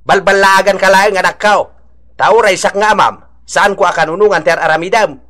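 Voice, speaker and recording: A man's voice: high voice, steady tone, normal speed, sharp tone. A man with an Ilocano accent playing an exasperated, preachy older father in a radio drama. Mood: scolding. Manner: scolding, preachy, exclaiming. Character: scolding, exasperated older father-in-law, concerned grandfather.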